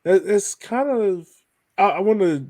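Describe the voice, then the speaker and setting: A man's voice: high voice, animated, a little slow, mellow. A man with an American accent hosting a podcast, asking questions in a casual, conversational style. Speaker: podcast host, interviewer. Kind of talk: casual interview chat.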